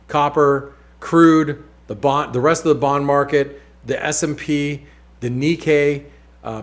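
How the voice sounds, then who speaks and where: A man hosting a weekly market outlook, talking casually over charts with many fillers, restarts and wry asides. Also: medium pitch. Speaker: market analyst host.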